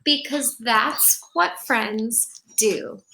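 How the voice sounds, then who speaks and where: A woman reading a picture book aloud to young children in an expressive storytelling way, speaking directly to her listeners. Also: on the high side, drawn-out words, gravelly, background noise.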